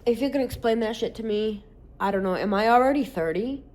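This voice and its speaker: A woman's voice: fairly high pitch, brisk, a little mellow. Rambling, candid woman in her late twenties. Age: late 20s. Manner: rambling, candid, sprinkled with swearing and "like". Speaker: social media creator. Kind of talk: casual vlog chat.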